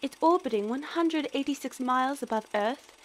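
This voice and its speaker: A woman's voice: high-pitched, normal speed, natural voice. A woman playing an earnest, eager young military analyst in an audio drama, precise and deferential. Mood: earnest briefing. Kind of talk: scripted audio drama acting.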